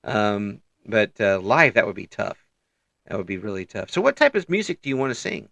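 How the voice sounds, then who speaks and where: A man with a gravelly voice hosts a relaxed interview, circling through fillers and restarts as he works toward his point. He chuckles often between thoughts. The sound is dry and close. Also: on the low side, normal speed, no room sound, highs cut off. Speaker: interview host. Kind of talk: casual interview chat.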